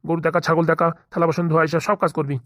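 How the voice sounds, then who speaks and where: A woman voicing a wary, grumbling middle-aged village man in a comedy cartoon, haggling gruffly. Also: low-pitched, level tone, rapid-fire, no echo, studio quality, natural voice. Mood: bargaining.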